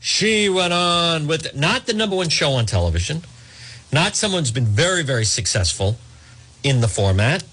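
A man hosting a talk show, giving opinionated off-the-cuff political commentary in a halting, repetitive way, addressing listeners directly. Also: fairly high pitch, very animated, fairly bright tone, breathy edge.

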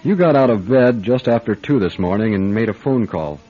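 Casting: confident radio detective, deep-toned, measured, knowing, on muffled old radio sound. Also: male voice, lively intonation. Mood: accusing. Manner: measured, wry, probing. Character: calm, probing male private detective, confident and unhurried. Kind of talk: radio drama acting with first-person narration. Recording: dull band-limited radio drama with music.

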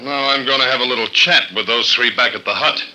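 Hard-boiled radio detective, noisy recording. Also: male voice, medium pitch, brisk, sharp tone. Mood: hard, commanding. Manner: blunt, clipped, sardonic. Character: tough, hard-boiled male investigator, dry and commanding. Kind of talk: old-time radio drama acting with first-person narration.

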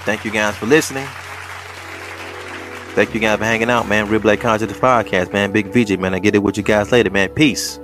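A man's voice: lowish voice, steady tone, fairly quick, music in the background, a crowd in the background. A man hosting a solo podcast monologue, talking in a sarcastic, slangy, mocking way. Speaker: podcast host.

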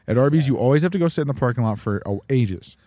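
A man's voice: mid-range voice, animated, fast talker, warm tone, dry recording. A man in his twenties co-hosting a casual podcast from a parked car, laughing often and tripping over his words. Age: 20s. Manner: giggly, trips over words. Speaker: podcast co-host.

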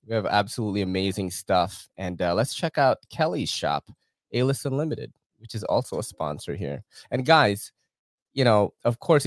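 A man's voice: lowish voice, no room sound, clean studio sound. A man hosting a live podcast, casually reading sponsor ads with slang, cursing and laughter. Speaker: podcast host.

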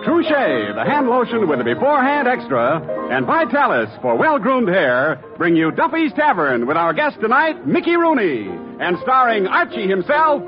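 A man's voice: sits high, wildly swooping pitch, normal speed, few pauses, over music. A man with an American accent announcing and selling in a polished, emphatic 1940s radio style. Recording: muffled vintage radio broadcast recording.

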